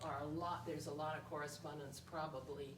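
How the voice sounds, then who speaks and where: A woman recalling things conversationally in a group discussion, with measured, slightly hesitant phrasing. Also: low-pitched, little pitch movement. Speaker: panel speaker. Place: indoor library event room, far microphone. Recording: roomy, distant event recording with faint noise.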